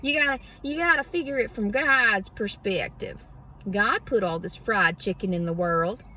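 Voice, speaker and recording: A woman's voice: mid-range voice, moderate pace, bright, forceful tone. A woman putting on a preachy, gluttonous Southern churchgoing woman with an exaggerated drawl, sarcastic and folksy in a satirical monologue. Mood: gleeful, mock-pious. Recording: distant mic, clear background noise.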